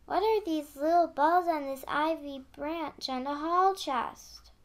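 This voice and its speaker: Young girl, high-pitched, earnest, homesick, second grader, radio drama. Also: slow. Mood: curious, polite. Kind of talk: radio play acting.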